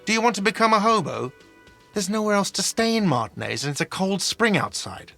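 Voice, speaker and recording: Bright-voiced, exasperated hostel manager. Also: male voice, medium pitch, animated, unhurried, faint music behind. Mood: condescending, weary. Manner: sarcastic, irritated, condescending. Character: exasperated, sarcastic male hostel cafeteria manager. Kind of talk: video game voice acting.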